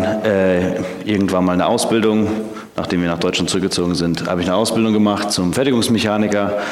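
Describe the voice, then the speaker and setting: Junger Prediger mit tiefer Stimme in halligem Raum. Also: male voice, lowish voice. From Canada. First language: English. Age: 20s. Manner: hesitant, frequent filler sounds. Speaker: theology student preaching. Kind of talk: sermon. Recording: echoey hall.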